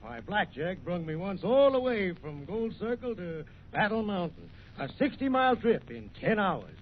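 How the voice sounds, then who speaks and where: An actor playing a wily frontier livestock trader, haggling in rustic American speech in a radio western. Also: male voice, high voice, sing-song, moderate pace. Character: wily, folksy male burro trader of the Old West. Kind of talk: old-time radio western acting. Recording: muffled, noisy old radio recording.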